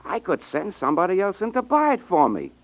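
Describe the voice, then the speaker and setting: A man's voice: high voice, very animated, a little fast. A man acting in a 1950s radio drama as a brash, pushy, slangy salesman feigning honesty. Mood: brisk persuasive. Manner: slangy, insistent. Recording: muffled 1950s radio recording.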